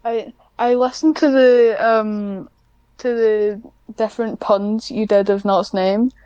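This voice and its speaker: A woman chatting casually as a podcast guest, in a somewhat hesitant, halting way. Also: medium pitch, steady tone, dark tone, clean tone, dry recording, muffled recording. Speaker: podcast guest, script writer. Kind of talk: casual chat.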